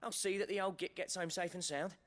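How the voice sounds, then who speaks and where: Good natured folksy english working lad in a game, wry and chatty, slightly high voice. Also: male voice, steady tone, a little fast, fairly bright tone. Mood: cheery, wry. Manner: colloquial, wry. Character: chirpy, good-natured, folksy male working-class villager. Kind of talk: dramatic video game dialogue.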